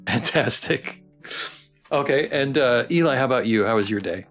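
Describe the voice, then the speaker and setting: A man's voice: mid-range voice, animated, normal speed, a bit bright, faint music behind. A man hosting a casual live stream interview, talking in an enthusiastic, effusive way.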